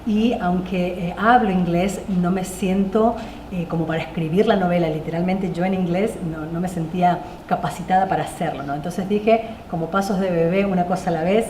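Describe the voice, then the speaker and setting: A woman's voice: lowish voice, normal speed, darkish tone. A woman novelist answering panel questions in a hesitant way, with many fillers and restarts. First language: Spanish. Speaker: novelist guest. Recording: echoey room with faint noise.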